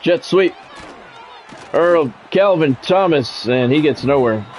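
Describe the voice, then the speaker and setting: A man's voice: a little high, lively intonation, slow pace, darkish tone, soft background music. A man doing sports play-by-play commentary in short announcer-style phrases, mixed with casual chat to his viewers. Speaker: live streamer and play-by-play commentator.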